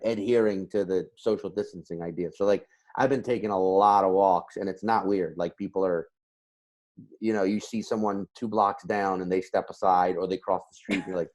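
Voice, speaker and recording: A man's voice: lowish voice, moderate pace. A man co-hosting a podcast, chatting casually and joking with plenty of swearing and laughter. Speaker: podcast co-host. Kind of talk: casual chat on a video call. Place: home in a city apartment.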